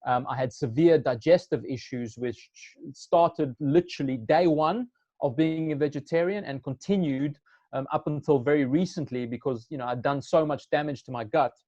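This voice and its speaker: A man's voice: a little high, moderate pace, a little mellow, dry recording. Man on a dull, muffled line. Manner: hesitant, restarting often. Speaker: company co-founder. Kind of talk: casual interview answer.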